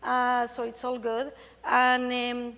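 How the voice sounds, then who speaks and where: A woman's voice: a little high, completely flat delivery, slow pace, fairly bright tone. A woman in her forties from Argentina with an Argentine accent, Spanish as her first language, giving a candid, joking conference presentation as a patient speaker. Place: stage in a large hall. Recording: long hall reverb.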